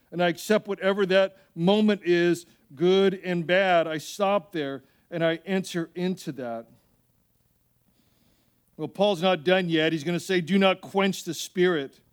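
A man preaching as a pastor in an animated, conversational way, repeating phrases for emphasis and telling humorous personal stories. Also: high voice, smooth. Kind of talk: sermon with personal anecdotes and acted-out dialogue.

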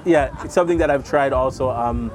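A man's mid-pitched voice sits against clear crowd chatter. He interviews casually, agreeing eagerly and often, in an easy back-and-forth style. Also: moderate pace. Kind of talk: casual chat.

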